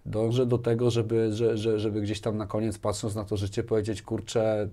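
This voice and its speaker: A man's voice: on the low side, level tone, normal speed, warm tone. A man, talking casually as an interview guest, often repeating words and checking in with short tag questions. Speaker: interview guest, business manager. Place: small furnished indoor studio, seated. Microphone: lapel mic.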